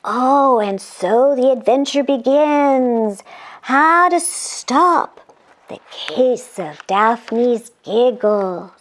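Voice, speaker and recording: A woman reads a children's storybook aloud at an unhurried pace. Her high voice swings widely in pitch as she narrates expressively, slipping in playful asides to her young listeners. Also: lively intonation.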